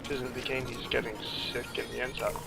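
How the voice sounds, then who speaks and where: Gravelly man giving rambling game commentary. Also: medium pitch, flat delivery. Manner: rambling, halting, trails off mid-thought. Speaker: gameplay commentator, screenwriter. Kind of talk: casual playthrough commentary. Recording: game music behind commentary. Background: video game music.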